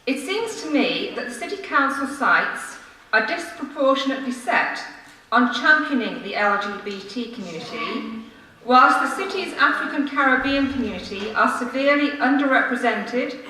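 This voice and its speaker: A woman reads a formal prepared speech to a council meeting, measured and earnest, with emphatic phrasing. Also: a little high, expressive, bright, forceful tone. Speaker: member of the public addressing a city council. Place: large council meeting room, indoors. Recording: echoey room from far off, faint noise.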